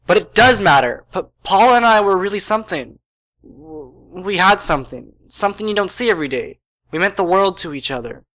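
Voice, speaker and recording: A man's voice: sits high, expressive, a bit bright, poor audio. Nervous, stammering young mechanic's assistant played by a man. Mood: defensive. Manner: halting, stammering. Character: nervous, secretive young male auto shop assistant. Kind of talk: amateur radio play acting.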